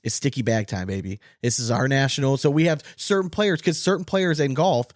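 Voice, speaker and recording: A man's voice: mid-range voice, fairly quick, dry recording. Emphatic man talking sports, close dry sound. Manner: emphatic, opinionated, swears casually. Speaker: podcast co-host. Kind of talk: casual sports podcast chat.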